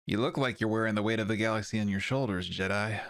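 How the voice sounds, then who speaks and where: A man's voice: on the low side, quick pace, clean tone, close to an everyday voice. A man playing a blunt, casual medic. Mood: wry, concerned. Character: blunt, perceptive medic, a former lover. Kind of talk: audio drama acting.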